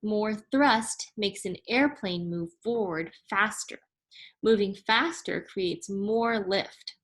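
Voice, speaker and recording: A woman reading a children's science picture book aloud like a teacher, in a deliberate, instructive way that makes each term clear. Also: medium pitch. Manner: deliberate, instructive, spelling out and explaining terms.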